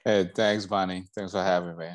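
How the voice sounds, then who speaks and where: Deep-voiced man from Liberia, reflective. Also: level tone, normal speed, no room sound, studio quality. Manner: reflective, many fillers like "like" and "you know". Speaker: guest. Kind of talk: casual interview conversation.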